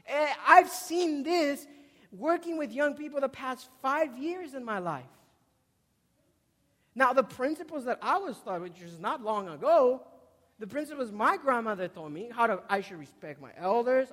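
A man in his twenties preaches in a very high-pitched voice, ringing through a hall with long reverb. His delivery is emphatic, repeating key words and moving between loud stresses and quieter asides. He often puts questions to the congregation. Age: 20s. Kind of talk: sermon with questions put to the congregation. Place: large indoor hall before a congregation.

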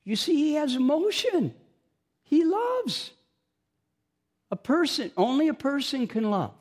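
A man's voice: very high voice, sing-song, a little slow. A man preaching an informal sermon, chatty and self-mocking, joking with the congregation between scripture readings.

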